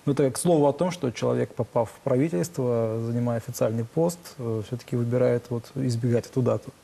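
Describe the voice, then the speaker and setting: A man's voice: medium pitch, steady tone, quick pace, vocal fry, mellow, a little hiss or hum. A man in his thirties commenting and questioning as a journalist on a political TV panel. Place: TV studio.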